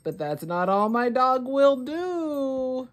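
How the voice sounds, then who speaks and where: A woman hosting story time, reading a children's picture book aloud in an expressive, deliberate way for young children. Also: sits high.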